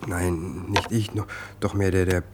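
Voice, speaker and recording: A man's voice: low-pitched, little pitch movement, a little slow, very noisy. A man playing a wry homeless man in a German crime radio drama, courteous but needling and insistent.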